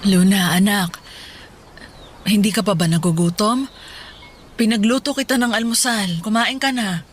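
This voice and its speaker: An actress playing a gentle, worried Filipina mother in a radio drama, soothing and pleading. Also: mid-range voice, unhurried, a little hiss or hum, natural voice. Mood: tender concern.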